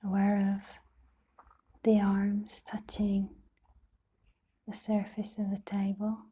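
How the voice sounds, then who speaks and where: A woman leading a guided meditation in a soft, breathy, half-whispered voice, speaking gently and soothingly in short phrases with long pauses. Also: mid-range voice, monotone. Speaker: meditation teacher.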